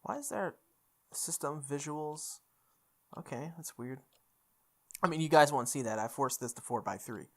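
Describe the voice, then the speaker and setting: A woman with a low voice hosts a visual novel playthrough. She reads the narration aloud casually and reacts informally as she goes. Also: expressive, normal speed, long pauses. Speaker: gaming channel host.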